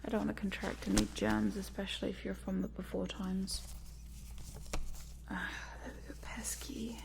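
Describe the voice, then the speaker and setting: A woman playing a quirky, lonely scientist in a whispered ASMR roleplay, softly muttering to herself as she tinkers. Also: medium pitch, little pitch movement, normal speed, gravelly. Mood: careful, soothing. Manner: soft, hesitant, muttering to herself. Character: quirky lonely female scientist repairing a robot in her lab.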